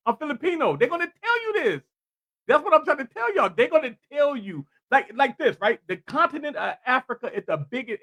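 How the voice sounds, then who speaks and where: A man with a high voice leads a casual panel discussion. He is insistent and keeps pressing with questions, circling back to repeat his point. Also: fairly bright tone. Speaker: podcast panel host.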